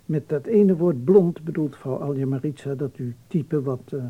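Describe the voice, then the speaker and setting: An actor in a Dutch radio drama playing a fussy, obliging hairdresser who murmurs and repeats himself deliberately. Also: male voice, fairly high pitch, breathy edge, phone-quality sound. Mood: obliging, appraising. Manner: murmured repetitions, deliberate hesitations.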